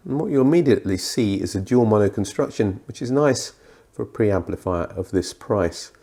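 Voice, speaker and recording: A middle-aged British man with a thick, croaky, congested voice from a cold, presenting a scripted hi-fi review with dry, self-deprecating asides. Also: on the low side, expressive, moderate pace, warm tone. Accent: British English. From Britain.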